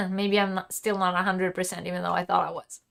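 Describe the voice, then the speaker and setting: A woman hosting a live crochet lesson, talking casually with her viewers and laughing often. Her voice is wearing thin and she stops to cough and clear her throat. She talks in loose, chatty runs with plenty of fillers. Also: on the low side, level tone, brisk, fairly bright tone. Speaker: crochet teacher and channel host. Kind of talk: live-streamed craft lesson, answering chat.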